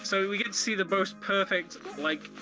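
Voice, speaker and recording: A man's voice: high-pitched, moderate pace, low-quality recording. A man giving casual live commentary on a video game, explaining things in a hesitant, chuckly way. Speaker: speedrun commentator. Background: game music behind the voice.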